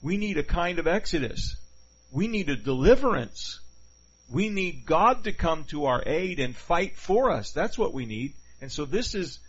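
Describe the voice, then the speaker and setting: A man's voice: fairly high pitch, husky. A man preaching a teaching sermon in a conversational way, often putting questions to his listeners. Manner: conversational, asks the audience questions. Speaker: pastor. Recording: dull lo-fi sound.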